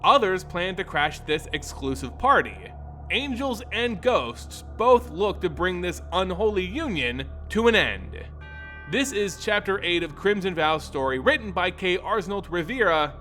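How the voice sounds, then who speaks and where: A man hosting a lore channel, reading a scripted story in a theatrical, expressive way. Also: sits high, measured pace. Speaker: host and narrator of a lore channel. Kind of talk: dramatic scripted story narration. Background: music under the voice.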